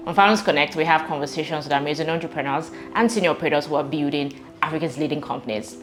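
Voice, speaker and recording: A woman hosting an interview show, delivering a brisk, upbeat introduction. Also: deep voice, a little hiss or hum. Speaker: host. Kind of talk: podcast intro and interview questions.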